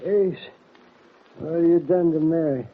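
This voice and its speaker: A weakened, earnest rancher in a radio Western. His highish, husky voice comes slowly and with strain. The recording is thin and old. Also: phone-quality sound. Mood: alarmed, strained. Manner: slow, halting, strained. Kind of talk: old-time Western radio drama acting.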